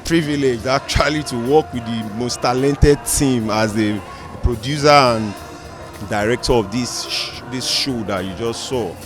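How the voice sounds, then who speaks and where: Music runs behind a man with a Nigerian accent and a middling pitch. He gives interview remarks as a show's director, in deliberate, emphatic phrases. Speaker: producer and director.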